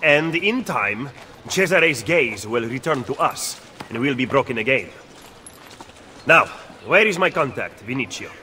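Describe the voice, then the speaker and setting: A wry, cunning strategist. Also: male voice, medium pitch, animated, measured pace, pushed and bright, a little hiss or hum. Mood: lecturing. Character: cunning, aloof Italian political strategist. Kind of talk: video game cutscene acting. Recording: faint game music behind.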